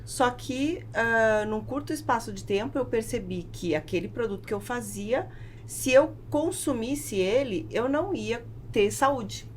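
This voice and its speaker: A woman with a Brazilian accent telling a personal story as an interview guest, in an emphatic and deliberate way. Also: a little high, expressive. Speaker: podcast guest, food business founder. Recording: clear background noise, room ambience.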